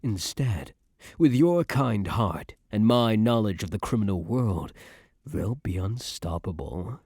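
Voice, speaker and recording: Man playing a low-voiced doting young mafia boyfriend. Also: lively intonation, slow, darkish tone, slightly rough, dry recording, clean studio sound, exaggerated voice. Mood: tender, promising. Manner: affectionate, slangy, streetwise, self-assured. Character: doting young male mafia heir, tough but tender boyfriend. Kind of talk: ASMR boyfriend roleplay, intimate scripted monologue.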